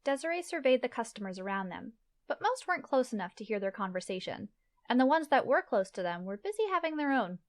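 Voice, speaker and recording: A woman's voice: fairly high pitch, big pitch swings, fast. A woman reading scripted fantasy story narration as the narrator of an audio play.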